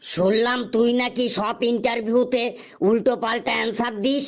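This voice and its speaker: A man's voice: extremely high pitch, level tone, moderate pace. A man voicing an irritable middle-aged shopkeeper in a comic cartoon, scolding and exasperated. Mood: scornful. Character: irritable middle-aged male shopkeeper chasing unpaid debts.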